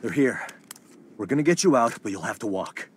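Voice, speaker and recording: A man's voice: fairly low pitch, very animated, brisk, a little hiss or hum. An actor playing an earnest, anxious and caring young man in a video game cutscene. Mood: urgent, alarmed. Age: young adult.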